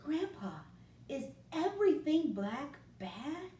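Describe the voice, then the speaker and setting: A woman voicing an anxious little boy who asks pleading questions in a high voice, in a roomy recording from a distance. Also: sing-song, slow pace, noisy background, heavily acted. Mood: worried. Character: small boy, worried and unsure.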